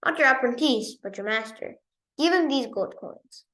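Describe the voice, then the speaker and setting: Woman reading a commanding royal father, high-pitched. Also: lively intonation, normal speed, fairly bright tone, reverberant. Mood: shrewd, commanding. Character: stern, commanding older king, shrewd and generous father.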